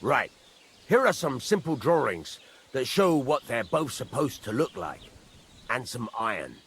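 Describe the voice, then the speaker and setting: Gruff master smith for a medieval game: demanding, instructive, forceful and highly animated, over music. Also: male voice, a little slow, a little hiss or hum. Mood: blunt, brisk. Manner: instructive, blunt, swears mildly. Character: gruff, demanding village master blacksmith teaching a newcomer. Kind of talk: video game dialogue acting.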